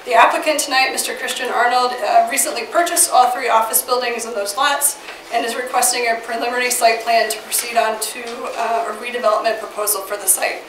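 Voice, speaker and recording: A woman with a Midwestern American accent, getting over a cold with a slightly scratchy voice, briefs a city council as planning staff. Her delivery is steady and informative, with occasional fillers. She sits a bit high and away from the mic, and the chamber adds echo and a noticeable noise floor. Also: brisk. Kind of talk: staff report presentation. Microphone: far from the mic. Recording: echoey, distant, noisy chamber audio.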